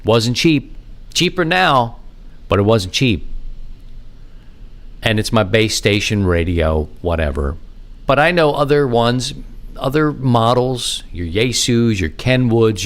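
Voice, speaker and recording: A man hosting a podcast, talking casually and informally in a rambling, self-deprecating monologue with animated asides. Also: medium pitch, a little slow. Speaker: podcast host. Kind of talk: casual rambling hobby monologue on amateur radio.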